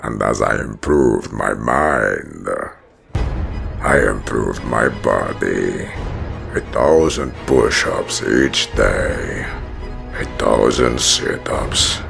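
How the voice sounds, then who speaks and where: A man playing a brooding, menacing prison-hardened villain who narrates his origin in a gravelly, solemn, deliberate voice for a comic dub. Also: a bass voice, heavily acted. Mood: cold, controlled. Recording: music behind the voice.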